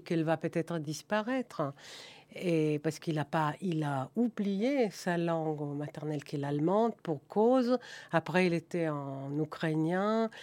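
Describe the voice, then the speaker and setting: Lower-voiced woman guest, dry sound. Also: a little mellow, no room sound. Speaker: guest filmmaker. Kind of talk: radio discussion.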